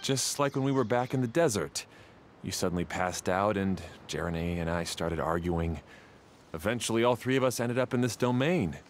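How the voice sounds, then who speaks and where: A man voicing a protective, worried and hot-headed young man in a video game, expressive and easily flustered. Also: lowish voice, normal speed, slight background noise, natural voice. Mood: worried, sincere.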